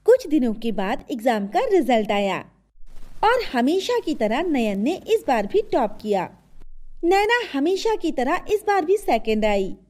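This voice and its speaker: A woman's voice: sits high, big pitch swings, measured pace, a little mellow. A woman narrating a scripted children's story.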